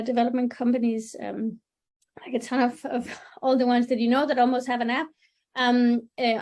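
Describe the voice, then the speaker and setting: Upbeat woman, higher voice. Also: a little mellow. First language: Danish. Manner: upbeat, chatty, searching for words. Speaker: product marketing manager. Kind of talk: webinar presentation.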